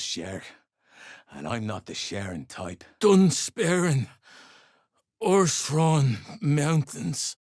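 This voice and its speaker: An actor playing a gruff, greedy, foul-mouthed dwarf overseer in a video game, bossy and sarcastic in clipped phrases. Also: male voice, on the high side, no echo, clean studio sound. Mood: irritated. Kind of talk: video game character acting.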